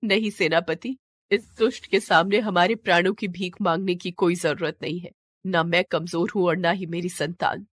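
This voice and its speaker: A woman's voice: lowish voice, fairly even intonation, normal speed, no echo, clean studio sound, natural voice. A woman voicing a devout, proud serpent queen who pleads and defies with dramatic emotion in a fantasy story. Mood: defiant. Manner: impassioned, dramatic pleading and defiance.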